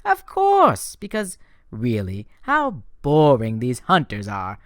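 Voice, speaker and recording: A man voicing a vain, gushing goddess of love, high and theatrical with a dry, close sound. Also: wildly swooping pitch, takes it slowly, fairly bright tone, no room sound, heavily acted.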